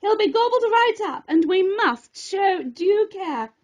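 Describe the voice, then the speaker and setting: A woman voicing a bossy, haughty female professor in a children's storybook, with a brisk, disapproving manner. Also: extremely high pitch, animated, a little mellow, voice pushed up, heavily acted. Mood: alarmed.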